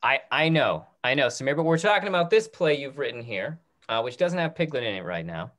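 A man in his thirties hosts casually over a video call. His pitch is middling but swings around in a lively way, and he speaks haltingly, restarting phrases as he goes. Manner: halting, repeats his words. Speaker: host of a theatre talk-back. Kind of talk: casual, hesitant moderating.

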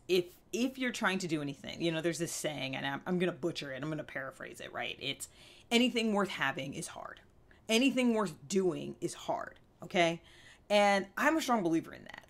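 Woman, thirties, slightly low voice, earnest vlog host, emphatic, candid self-help talk. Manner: earnest, conversational, emphatic with frequent check-in questions. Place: small indoor room with fabric backdrop.

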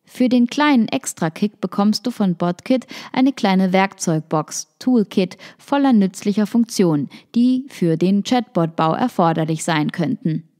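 Female narrator, calm article read-through, warm and soft tone. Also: mid-range voice, a little slow, few pauses. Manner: reads a written article. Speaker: podcast narrator. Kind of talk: scripted narration.

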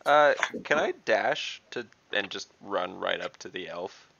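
A man taking his turn in a tabletop game over a video call, eager and easily amused. His low voice is gravelly and bright, with lively swings in pitch. The call audio is dull and lo-fi. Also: a little slow. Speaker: player. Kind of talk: casual tabletop play.

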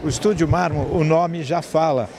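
A man's voice: on the high side, fairly even intonation, moderate pace, crowd noise. A Brazilian man in his fifties interviewing a guest on location for television. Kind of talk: on-location trade show interview. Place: open stand in a large indoor exhibition hall. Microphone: handheld reporter microphone. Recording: noisy exhibition hall ambience. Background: clear hall noise.

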